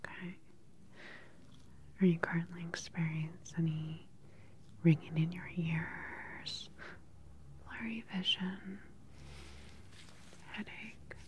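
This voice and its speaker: A woman in her thirties performing an ASMR medical roleplay as a calm, attentive doctor, asking questions in a soft, unhurried, clinical way close to the microphone. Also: fairly low pitch, slight background noise. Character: calm, attentive government research doctor examining a patient.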